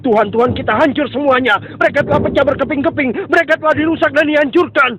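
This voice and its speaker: A man's voice: extremely high pitch, steady tone, fast talker, muffled recording. A man voicing an angry idol-worshipping Babylonian man in a dubbed cartoon, demanding and accusing. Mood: alarmed.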